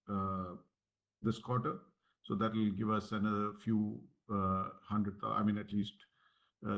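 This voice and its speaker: A man's voice: on the low side, fairly bright tone. A man speaking as a company executive, giving matter-of-fact, point-by-point answers on an earnings call.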